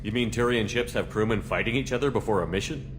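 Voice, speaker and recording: Male actor as human commander, low pitch, steady, direct, businesslike, sci-fi game dialogue, noisy background. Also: fast talker, natural voice. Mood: calm, curious. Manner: plain, businesslike questioning. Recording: loud background noise, game ambience.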